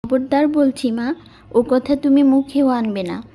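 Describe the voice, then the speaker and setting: A woman's voice: high-pitched, measured pace, dark tone. A woman voicing a devoted, polite but firm young village working girl in a cartoon. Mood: insistent.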